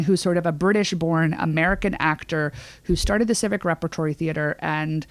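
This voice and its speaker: A woman with a fairly low voice chats casually as a podcast co-host. She is emphatic and gushing, with slangy phrasing. The sound is dry, with little room in it. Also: dry recording.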